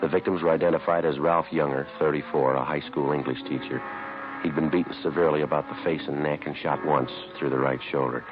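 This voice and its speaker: An American man playing a terse, businesslike police detective in an old radio crime drama, speaking in a deadpan, matter-of-fact way. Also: a bass voice, a little fast. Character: terse, businesslike male police detective narrating his case. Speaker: detective narrator. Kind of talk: 1950s radio crime drama, clipped narration. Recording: muffled vintage radio recording, music behind.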